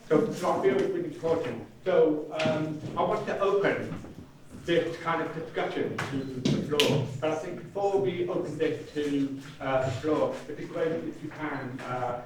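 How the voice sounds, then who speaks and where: Echoey, distant man moderating a panel, halting. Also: fairly high pitch. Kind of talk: informal spoken panel hosting. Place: echoey event room, indoors. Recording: very echoey hall, far mic, noisy.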